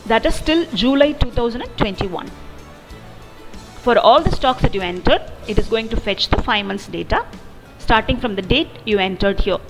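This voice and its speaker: A woman narrating an explanatory software demo in a methodical, step-by-step way. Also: fairly high pitch, animated, normal speed, low-quality recording. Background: background music.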